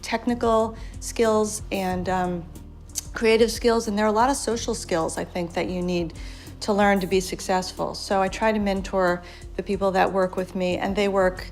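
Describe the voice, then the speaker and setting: A woman's voice: medium pitch, steady tone, normal speed, soft background music. A woman film crew member who mentors others, speaking in a documentary interview, thoughtful and modest.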